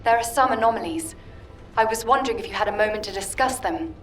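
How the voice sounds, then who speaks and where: A woman plays an earnest young data analyst from a video game. She explains methodically in a mid-pitched, everyday voice, as if briefing a comrade. A little ambient noise sits behind her. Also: fairly bright tone, close to an everyday voice. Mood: earnest, explanatory. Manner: clear, explanatory, measured briefing.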